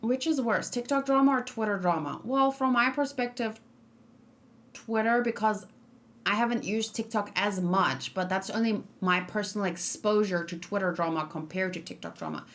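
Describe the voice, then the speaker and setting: A woman's voice: mid-range voice, moderate pace, fairly bright tone. Rambling young woman streamer. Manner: rambling, frank, full of fillers and restarts. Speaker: streamer reacting to a game trailer. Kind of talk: casual livestream chat.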